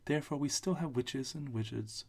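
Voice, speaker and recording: A man's voice: mid-range voice, moderate pace, a little mellow, pitched above the performer's own voice, close to an everyday voice. A man voicing a kindly, solemn little old woman, a good witch in a storybook read-aloud. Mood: explaining.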